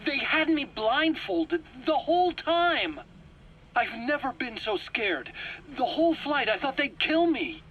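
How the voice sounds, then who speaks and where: A man's voice: extremely high pitch, big pitch swings, measured pace, narrow, tinny audio, full character voice. An actor playing an anxious, self-pitying male scientist in a video game cutscene, pleading and stammering indignantly in his own defense. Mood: nervous recounting. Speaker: accused scientist under interrogation.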